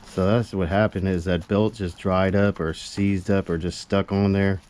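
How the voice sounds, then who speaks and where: A man's voice: low voice, level tone, moderate pace, darkish tone. A man chatting casually and unscripted as he works on a repair for a vlog, slangy, half talking to himself and half to viewers, recorded on an action camera. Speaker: amateur repair vlogger. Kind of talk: casual unscripted chat while working on a repair. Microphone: GoPro action camera. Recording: noisy action-camera audio.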